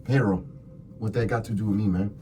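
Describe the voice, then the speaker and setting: A man's voice: on the low side, fairly even intonation, fairly quick, warm tone, slight background noise, natural voice. A man playing a cocky, evasive, laid-back murder suspect in an ASMR roleplay, slangy and flippant. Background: music.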